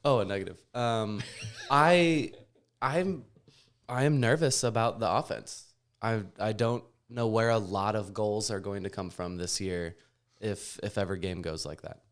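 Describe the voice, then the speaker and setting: A man's voice: lowish voice, a little slow. A man hosting a podcast, chatting casually about sport. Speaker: podcast host. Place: in person around a table with several mics.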